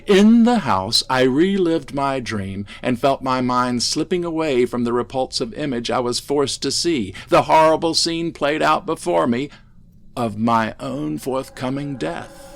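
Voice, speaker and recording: Man voicing a haunted, menacing madman, slow-spoken. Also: medium pitch, expressive, vocal fry, voice pushed up. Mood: fervent, tormented. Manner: fervent, ominous, swinging from hushed to ranting. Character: insane, haunted asylum inmate, intense and menacing. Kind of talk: gothic horror storytelling.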